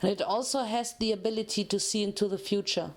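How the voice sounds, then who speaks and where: Formal male pony interrogator read by a woman, measured, cold and menacing, cartoon fanfiction. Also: medium pitch, steady tone, a little mellow, lightly acted. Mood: probing. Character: cold, formal grey stallion professor, interrogator. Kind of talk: dramatic fanfiction reading of a cartoon.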